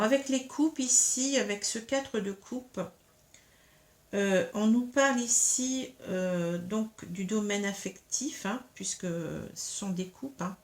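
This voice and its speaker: Woman with a cold, tarot reader, casual and halting. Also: mid-range voice, a little mellow. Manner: hesitant, many fillers and tag questions. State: has a cold. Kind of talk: casual tarot reading to camera.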